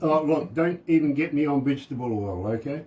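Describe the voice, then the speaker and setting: A middle-aged man with a New Zealand accent hosting a radio interview, telling deadpan jokes with slow, drawn-out setups. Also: fairly high pitch, lo-fi sound, background noise. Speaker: radio show host. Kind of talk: casual joking interview.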